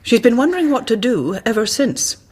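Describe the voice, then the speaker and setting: An actress in a radio drama playing a cautious, earnest hospital colleague who speaks formally and precisely, with prim reproof. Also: female voice, a little high, sing-song, a little fast, darkish tone, breathy edge. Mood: grave, urgent.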